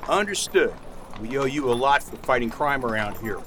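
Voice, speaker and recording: A man's voice: medium pitch, lively intonation, moderate pace, close to an everyday voice. Man acting friendly western town doctor. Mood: friendly, grateful. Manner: cordial. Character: friendly, grateful male frontier town doctor. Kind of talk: western radio drama acting. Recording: outdoor street ambience, noisy, slightly distant.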